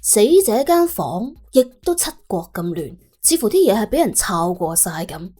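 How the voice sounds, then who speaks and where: Bright, animated Cantonese woman storyteller. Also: medium pitch, moderate pace, husky, soft background music. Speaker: audiobook narrator. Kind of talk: dramatic scripted story narration.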